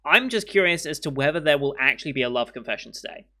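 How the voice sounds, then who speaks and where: Hoarse, bright-voiced man rambling to camera. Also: a little high, lively intonation, quick pace. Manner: rambling and thinking aloud. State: hoarse, worn-out voice. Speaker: anime reaction channel host. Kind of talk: casual to-camera commentary. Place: home room with windows. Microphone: studio mic in frame.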